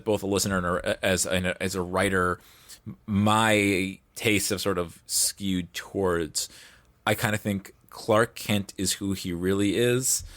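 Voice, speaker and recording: A man's voice: deep voice, a bit bright, dry recording. A man speaking as a podcast guest in casual, reflective discussion, phrasing his thoughts tentatively. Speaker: podcast guest, audio drama producer.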